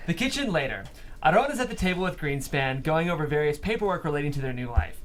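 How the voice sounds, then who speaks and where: A man narrating stage directions in an animated way at a script table read. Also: on the high side, quick pace. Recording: room recording with faint noise.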